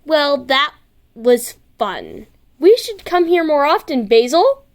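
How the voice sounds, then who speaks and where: Story podcast role: woman as grumpy tough girl, high voice, brash and sarcastic-edged. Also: big pitch swings, takes it slowly, full character voice. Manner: blunt, scoffing, easily flustered.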